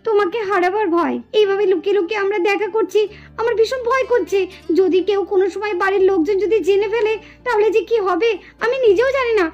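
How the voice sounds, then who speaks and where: A woman's voice: extremely high pitch, fast, darkish tone, lo-fi sound. A woman voicing a young doll sweetheart in a puppet drama, pleading, coy and teasing. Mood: anxious, pleading.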